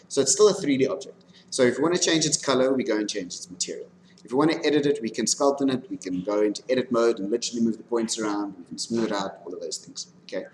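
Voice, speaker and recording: A man lecturing informally on animation software, talking through a live demonstration and often checking in with his students. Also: medium pitch, poor audio. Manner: instructive, frequently checking in with "okay". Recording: background noise, room ambience.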